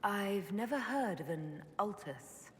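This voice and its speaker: A woman's voice: mid-range voice, expressive, slow pace. An actress playing a frank, inquisitive adventurer woman in a video game, asking direct, probing questions with composure. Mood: curious. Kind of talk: video game dialogue acting. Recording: reverberant hall with ambient noise.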